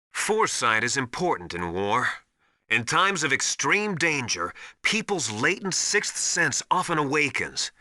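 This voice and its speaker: A stern veteran military instructor from a video game, with a hard, very sharp and forceful voice. His delivery is authoritative and deliberate, swinging widely in pitch to hammer home each piece of advice. The sound is dry and close. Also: no room sound, full character voice. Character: stern veteran soldier mentor, tough drill-master advising an operative.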